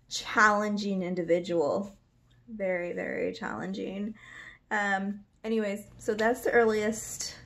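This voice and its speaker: A woman, telling her own life story in a rambling, casual way, full of "like" fillers. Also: mid-range voice. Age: 30s. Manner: rambling, many "like" fillers, laughs a lot. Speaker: vlogger.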